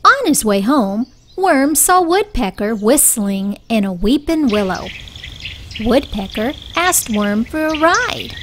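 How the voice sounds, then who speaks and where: A woman reading a picture book aloud for young children in a deliberate, expressive storytelling style. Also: fairly high pitch.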